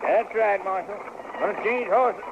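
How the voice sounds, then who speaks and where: A man's voice: high-pitched, normal speed, close to an everyday voice. A man playing a folksy, easygoing stagecoach driver with a rural Western American accent in an old radio western. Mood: confiding. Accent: rural American West. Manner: folksy, drawling, chuckling. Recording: tinny, very noisy old radio recording.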